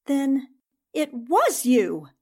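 An actress playing a brave, sharp young newspaper reporter in a 1940s-style radio mystery, speaking in an earnest, theatrical way. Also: female voice, high-pitched, sing-song, very slow, exaggerated voice. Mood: frightened.

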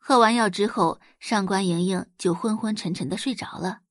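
A woman's voice: medium pitch, moderate pace, dry recording, studio quality. A woman reading scripted audiobook narration as a storyteller.

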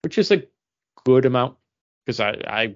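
A man's voice: mid-range voice, lively intonation, normal speed, lo-fi sound. A man co-hosting a podcast in casual chat with friends, rambling and correcting himself as he goes. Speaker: podcast co-host.

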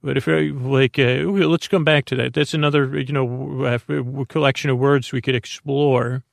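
Male bedtime-podcast host, creaky fry, dry close recording, meandering monologue full of halting repeats. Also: medium pitch, steady tone, no room sound.

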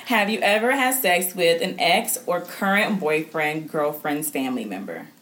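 A young woman hosting a podcast, animated and frank, swearing casually and laughing a lot. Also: fairly low pitch, moderate pace, a little hiss or hum. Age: young adult. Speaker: podcast host. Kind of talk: casual chat.

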